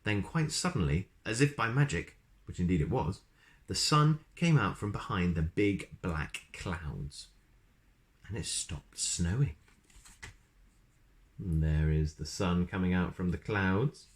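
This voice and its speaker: A man's voice: low voice, animated, slow pace. A man reading a children's story aloud expressively, with chatty asides to his listeners.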